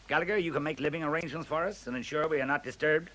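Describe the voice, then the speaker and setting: An actor playing a resolute, intellectual male scientist in a 1970s radio play, deliberate and authoritative. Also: fairly high pitch, pushed and bright. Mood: decisive. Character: grave, resolute, intellectual scientist taking charge. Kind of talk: 1970s radio drama acting. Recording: hissy 1970s radio recording.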